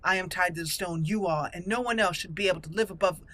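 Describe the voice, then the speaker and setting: Bright, haughty noblewoman played by a woman gamer. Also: on the low side, quick pace. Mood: proud, stern. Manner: formal, lofty. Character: proud, haughty young noblewoman raised among dwarves.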